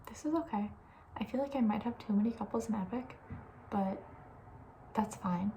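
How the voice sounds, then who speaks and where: A woman speaking softly with breathy, near-whispered edges, chatting casually and rambling with playful opinions as an ASMR host. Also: a little high, moderate pace, slight background noise. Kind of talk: soft-spoken ASMR casual chat.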